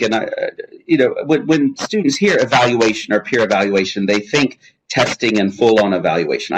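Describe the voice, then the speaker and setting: A man's voice: fairly low pitch, background noise. A man speaking as a guest in a webinar, answering questions in a chatty, jokey way with many restarts. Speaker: guest speaker on language testing.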